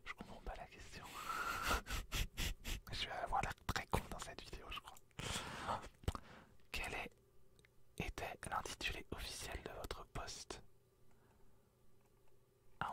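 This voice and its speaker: Young French man whispering softly. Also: unhurried, long pauses. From France. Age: young adult. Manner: whispering, joking and self-mocking. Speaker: freelance graphic designer. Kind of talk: whispered ASMR-style vlog.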